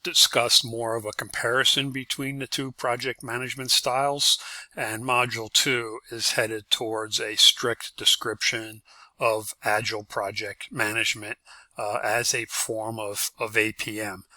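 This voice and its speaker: An older man lecturing on course material as an instructor, speaking in a measured, halting way with frequent drawn-out uhs. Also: mid-range voice, level tone, bright, forceful tone, dry recording.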